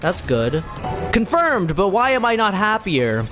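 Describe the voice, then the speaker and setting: High-voiced man doing casual game commentary. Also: big pitch swings, slow, music in the background. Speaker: gaming streamer playing and reacting.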